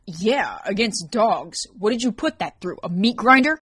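A woman's voice: medium pitch, lively intonation, unhurried, fairly bright tone, slightly rough, no echo, voice pushed up. A woman voicing a sarcastic, laid-back young male cashier in a story narration. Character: sardonic young male pet-store cashier.

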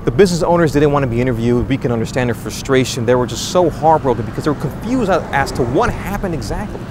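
A man's voice: medium pitch, lively intonation, fast. A young man in his twenties gives an earnest, dramatic on-scene news report outdoors at night, wording things loosely and restarting often. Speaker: independent street reporter. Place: outdoors at night on a city street. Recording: outdoor recording with street noise. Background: street and traffic noise.

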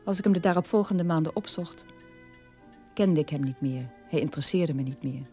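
An actor playing a middle-aged, estranged son in a radio drama, telling his story in a measured way. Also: on the high side, dark tone, faint music behind, close to an everyday voice. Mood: resigned, estranged.

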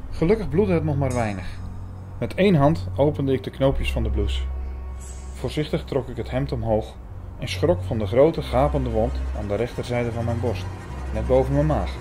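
A man reading scripted first-person narration for a thriller audio drama. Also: mid-range voice.

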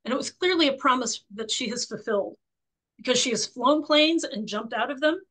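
A woman in her sixties with a croaky voice, hosting a book club interview over a video call from her home office, chatty and full of fillers. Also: high-pitched, brisk, rough recording. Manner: warm, chatty, many fillers and restarts.

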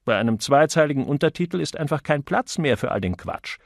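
A male narrator plays a harried office manager in an audiobook. He pleads and insists uncertainly, pitched up, in a dry recording. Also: animated, a little fast, gravelly, no echo. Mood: insistent. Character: harried, insistent but unsure male advertising group manager.

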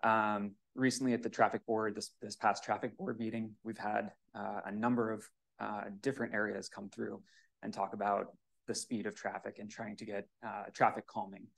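A man's voice: lowish voice, little pitch movement, normal speed, gravelly. A man giving a hesitant, pleading public comment at a municipal commission meeting on a podium microphone. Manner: hesitant, many fillers, pleading.

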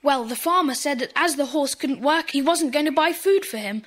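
High-voiced earnest schoolboy. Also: female voice, expressive, normal speed, fairly bright tone. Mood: worried. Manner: earnest, explanatory. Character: earnest, worried, kind-hearted schoolboy. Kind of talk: children's audio drama acting.

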